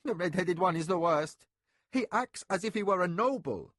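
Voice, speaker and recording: A man's voice: fairly high pitch, big pitch swings, slow pace, fairly bright tone, breathy edge, no room sound, studio quality, voice pushed up, exaggerated voice. A man voicing an anxious male overseer who pleads and protests in a whining voice. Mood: pleading. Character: anxious male overseer servant, fawning and aggrieved.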